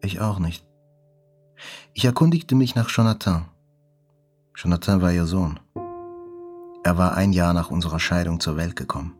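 Audio drama lead: wounded male journalist, lowish voice, sober literary first-person narration, light music bed. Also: normal speed, long pauses, a little mellow. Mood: introspective, sober. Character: sober, wounded male journalist recounting his hospital stay. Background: faint music.

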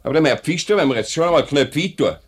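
An actor playing a courteous, firm factory director with a Swiss German accent in a 1950s radio play. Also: male voice, a little high, expressive, fast, slight background noise, natural voice. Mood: conciliatory. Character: measured, authoritative male factory director, fair-minded boss.

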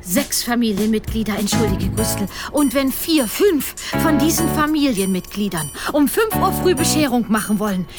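Woman as resolute loving mum, high voice, commanding, radio play, loud music behind. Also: expressive, moderate pace, hardly any pauses, natural voice. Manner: firm, commanding, affectionate. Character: resolute, loving mother who lays down the law.

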